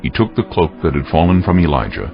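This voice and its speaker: A man's voice: extremely low pitch, very animated, quick pace. A man narrating scripture as a solemn, scripted dramatized reading. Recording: muffled audio over faint music.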